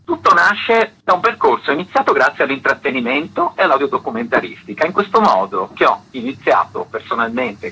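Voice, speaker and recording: Male arts director as radio guest, very raspy voice with lively intonation, explanatory delivery. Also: mid-range voice, low-quality recording. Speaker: festival artistic director, interview guest.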